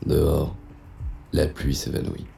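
An actor playing a cynical, contemptuous police inspector who narrates a noir audio drama in a deadpan, sardonic, gravelly voice. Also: male voice, rumbling low, slow pace, faint music behind, full character voice. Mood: brooding, smug.

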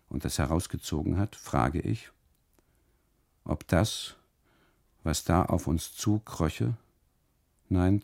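A man narrating a literary radio monologue in deliberate phrases, with dramatic pauses and emphasis. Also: deep voice, gravelly, mellow, breathy edge. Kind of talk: literary first-person narration, 1980s German radio play.

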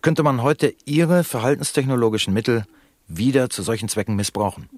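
A man's voice: mid-range voice, fairly quick, no room sound, clean studio sound, close to an everyday voice. An actor playing an unctuous, formal male radio show host in a satirical radio drama. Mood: smooth, formal. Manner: formal, effusive, showy. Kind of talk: radio drama acting, broadcast presenting.

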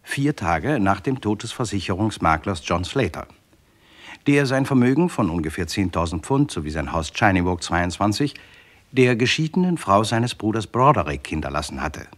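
Casting: formal crime-drama narrator, fairly deep, with a strongly moving melody, factual and exact delivery. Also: male voice, sing-song, normal speed. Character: male narrator of a detective story, precise. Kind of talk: scripted radio crime play narration.

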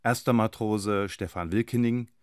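Guy announcing radio play credits, lowish voice, scripted, really dry recording. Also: steady tone, normal speed, clean tone, dry recording.

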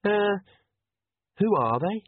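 A man voicing a flustered, sharp-tongued young woman who is hesitant yet blunt in an audiobook. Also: high voice, sing-song, slow, phone-quality sound, a little hiss or hum, voice pushed up, heavily acted. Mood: uneasy.

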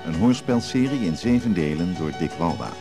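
A man's voice: deep voice, animated, a little fast. A man reading scripted narration as the announcer of a radio drama. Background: music.

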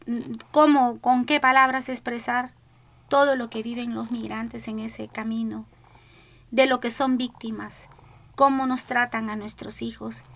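Salvadoran activist mom, middle-aged, earnest pleading testimony, fairly high voice. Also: female voice, fairly bright tone. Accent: Salvadoran Spanish. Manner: earnest, pleading, repeats "¿verdad?". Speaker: mother of a missing migrant, activist. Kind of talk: radio panel testimony.

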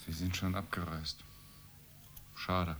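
An actor playing a weary, grumbling journalist husband from middle-class Rome, terse and morose, in a radio play. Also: male voice, low voice, level tone, slow pace, a bit bright, faint music behind. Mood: regretful. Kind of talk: radio play dialogue acting.

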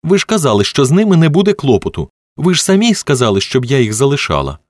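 A man's voice: medium pitch, sing-song, fast, no room sound, pitched above the performer's own voice. A man voicing a tearful, defensive young woman in an audiobook, agitated and pleading. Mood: accusing.

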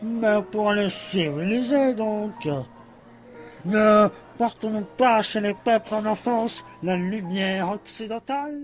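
A smug colonial explorer, acted by a man for a satirical audio drama, declaims with pompous, theatrical weight. He takes his time in a high register, casually cruel, over prominent music. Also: slow pace. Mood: pompous, boastful. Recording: music behind the voice.

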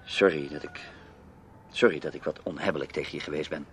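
An actor playing a brisk, teasing, unafraid man in a radio drama. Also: a bass voice. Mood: hesitant. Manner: brisk, bantering. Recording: muffled radio play recording, faint noise.

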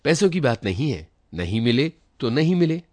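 A man's voice: medium pitch, big pitch swings, normal speed, warm tone, no echo, pitched above the performer's own voice. A man voicing an aggrieved, humiliated village man in a story, complaining insistently in colloquial, rustic speech. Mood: hurt, insistent.

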